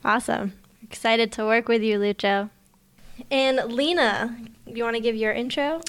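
A woman's voice: fairly high pitch, normal speed, clear, resonant tone. A young woman co-hosting a podcast, chatting in a friendly, casual way. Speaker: podcast co-host.

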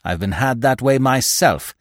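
A man voicing a bustling, irritable police inspector in an audiobook, grumbling and exclaiming briskly. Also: medium pitch, lively intonation, no room sound, clean studio sound, lightly acted. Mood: impatient, irritated. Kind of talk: audiobook character voice.

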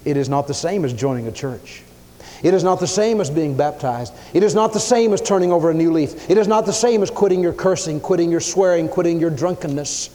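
A man preaching a sermon, emphatic, swinging his pitch and loudness as he acts out the people he quotes. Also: brisk. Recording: long hall reverb.

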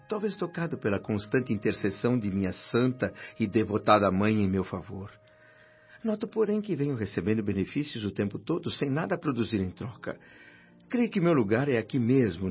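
Radio drama lead: earnest, repentant former doctor, solemn and formal, fairly low pitch, lo-fi sound. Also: male voice, breathy edge. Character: humble, earnest former doctor, newly arrived spirit, narrating his afterlife. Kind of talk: radio drama acting and first-person narration. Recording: dull lo-fi radio drama with music.

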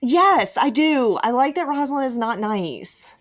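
A woman's voice: high voice, lively intonation, a little slow. A woman hosting a casual podcast discussion, speaking in an enthusiastic, emphatic and playfully teasing way. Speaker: podcast host.

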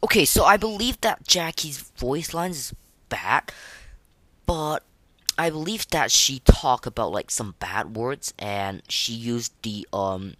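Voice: mid-range voice, a bit bright, no echo. A podcast host giving casual, unscripted game commentary in a hesitant, rambling way, with opinionated, slangy wording. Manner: hesitant, halting, rambling delivery.

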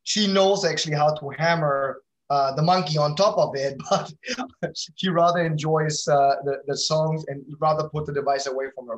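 A man's voice: a little high, rough recording. A man answering interview questions casually, in a hesitant, self-correcting way. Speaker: interview guest, company founder.

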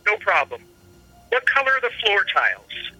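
A man playing a deadpan, nerdy trivia expert heard through a telephone in an audio drama. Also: high-pitched, normal speed, faint music behind, heavily acted, low-quality recording. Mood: deadpan, helpful. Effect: telephone filter.

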